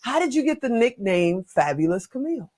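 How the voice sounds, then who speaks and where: A man's voice: very high-pitched, big pitch swings, brisk, a little mellow. A man interviewing a guest as a talk show host, chatty, informal and joking in his delivery.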